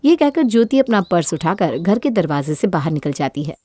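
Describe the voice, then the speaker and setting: A woman's voice: fairly low pitch, very animated, brisk, mellow, no echo. A woman narrating a scripted moral story as a storyteller.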